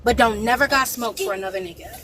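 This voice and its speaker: A woman panelist with a soft voice, speaking firmly and emphatically in a heated debate. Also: on the high side, quick pace, a loud crowd. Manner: firm, lecturing, emphatic.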